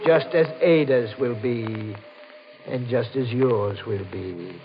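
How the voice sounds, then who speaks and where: A man's voice: medium pitch, music in the background, natural voice. A man acting a menacing radio villain, muffled recording. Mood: cold, confessing. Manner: menacing, theatrical, deliberate. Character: cold, calculating husband turned murderous villain. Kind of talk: old-time radio horror acting.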